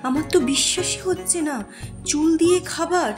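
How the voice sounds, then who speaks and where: A woman's voice: very high voice, a little fast. A woman playing a chatty, curious villager in a cartoon, with a gossipy, incredulous manner. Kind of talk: cartoon moral-story dialogue. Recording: echoey sound with music behind.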